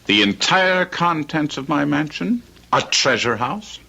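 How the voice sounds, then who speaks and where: An actor playing a reckless smooth talker desperate for money, florid and theatrical, in a radio drama. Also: male voice, on the high side, lively intonation, unhurried, bright, forceful tone, highs cut off, full character voice. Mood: wheedling, florid. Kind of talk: old-time radio drama acting.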